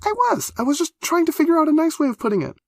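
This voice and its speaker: A woman's voice: high voice, sing-song, fast, no room sound, pitched above the performer's own voice. A man reading a story, voicing a bubbly, nervous young woman who gushes and trips over her words. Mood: nervous, gushing. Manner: gushing, flustered, loud.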